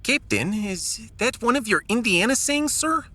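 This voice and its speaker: A man's voice: sits high, sing-song, pushed and bright, pitched above the performer's own voice, exaggerated voice. A man voicing a fussy, gloomy Russian science officer in a sci-fi audio drama, with a Russian accent and pedantic manner. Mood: puzzled. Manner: pedantic, tag questions, drawn-out phrasing.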